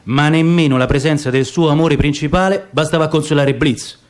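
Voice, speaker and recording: A man's voice: fairly high pitch, brisk. A man giving a dramatic, theatrical reading of literary prose as the narrator of a radio theatre piece. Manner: expressive, theatrical storytelling.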